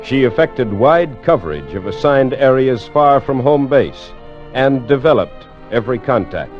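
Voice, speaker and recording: A man's voice: mid-range voice, big pitch swings, a little slow, soft background music. A man acting as a solemn, formal navy official in a vintage radio drama, reading in a stately, emphatic way. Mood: solemn, proud. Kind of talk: 1940s radio formal declamation. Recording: dull lo-fi vintage radio recording.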